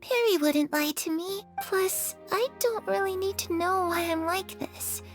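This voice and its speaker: Very high girlish ghost. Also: unhurried, music in the background. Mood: curious cheerful. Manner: bubbly, curious, teasing. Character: cheerful, chatty dead girl's spirit. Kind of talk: game cutscene acting.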